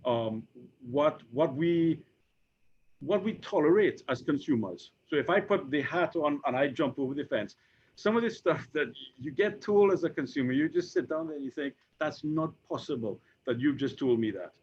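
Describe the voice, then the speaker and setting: A Trinidadian man in his fifties, an insurance executive speaking on a panel, who talks haltingly with many repeated words and fillers. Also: on the high side, expressive, a little mellow, slightly rough. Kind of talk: conversational panel discussion. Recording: dull lo-fi recording.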